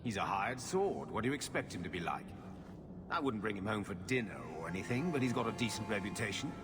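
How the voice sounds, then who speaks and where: An actor playing a shady, middle-aged uncle in a video game, blunt and world-weary. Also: male voice, fairly low pitch, very animated, quick pace, music in the background. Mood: blunt, matter-of-fact. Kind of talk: video game cutscene acting.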